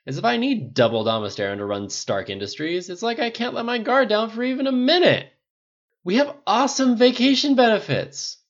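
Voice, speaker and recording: An audiobook narrator voicing a forceful, tipsy, exasperated businesswoman with an emphatic, complaining manner. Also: medium pitch, very animated, a little fast, few pauses, highs cut off.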